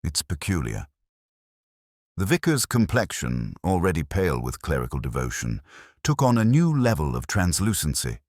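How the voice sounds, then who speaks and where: A man with an extremely low voice narrates a mystery story. His delivery is dry and literary, yet the pitch moves in a lively way, and the sound is close and dry. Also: normal speed, no echo. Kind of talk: scripted narration of a wry British village mystery.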